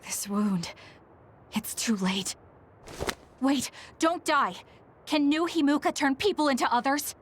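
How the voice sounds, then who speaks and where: A woman's voice: high-pitched, animated, slow pace, pauses often, a little hiss or hum. A woman playing a determined, anguished young psychic soldier in a dramatic video game, speaking with grave, introspective resolve. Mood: hushed concern, then urgent, demanding.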